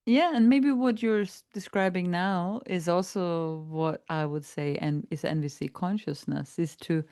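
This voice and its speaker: A woman hosting a reflective conversation, explaining ideas calmly and laughing easily. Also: lowish voice, a little slow, clean tone, dry recording, clean studio sound. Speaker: podcast host and trainer.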